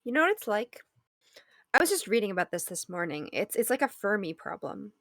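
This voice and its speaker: A woman's voice: medium pitch, sing-song, a little fast, fairly bright tone, dry recording, clean studio sound. A woman acting an affectionate, teasing wife in a naturalistic audio drama table read, conversational and playful. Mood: eager explaining. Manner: conversational, playful, explains with examples. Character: affectionate, teasing wife consoling and humoring her partner.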